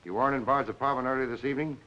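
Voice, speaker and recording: An actor in a 1940s crime film playing a shrewd, controlled police lieutenant with a terse, probing, dry manner. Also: male voice, mid-range voice, fairly even intonation, rapid-fire, lightly acted. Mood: cool accusing. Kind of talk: 1940s film noir acting. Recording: dull 1940s film soundtrack.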